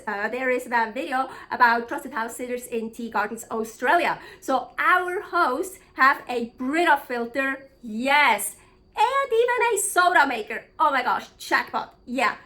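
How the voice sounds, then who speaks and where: A woman's voice: high voice, pushed and bright. A woman from Switzerland, chatting casually in a travel vlog, blunt and frank, swearing freely and thinking aloud. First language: Swiss German. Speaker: travel vlogger.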